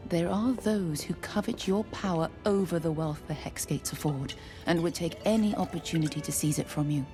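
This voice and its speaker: An actress playing a poised, ambitious noblewoman councillor in an animated fantasy drama, speaking in a measured, formal, silky and calculating way. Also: low-pitched, natural voice. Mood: shrewd, confiding. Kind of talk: animated drama series acting. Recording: clips with music behind the voice.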